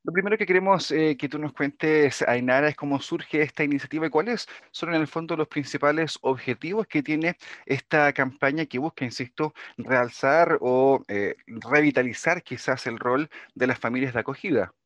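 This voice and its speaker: A man's voice: a little high, moderate pace, a bit bright, no echo. A man hosting a radio interview, asking long formal questions with hesitant fillers. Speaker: radio host. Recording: dry, dull lo-fi radio audio.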